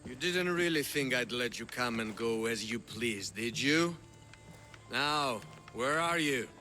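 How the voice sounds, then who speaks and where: Stubborn, smug guard, music behind. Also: male voice, mid-range voice, expressive, takes it slowly, bright, forceful tone. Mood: menacing. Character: stubborn, smug male ancient Greek guard. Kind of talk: video game cutscene acting.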